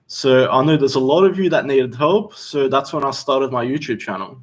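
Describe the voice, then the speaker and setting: A young Australian man talks easily through a casual livestream question session. His voice sits at a middle pitch, and his delivery is loose and conversational as he answers questions. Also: moderate pace. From Western Australia. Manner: relaxed and chatty, reads viewer questions aloud. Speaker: chef hosting a Q&A livestream. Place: seated indoors.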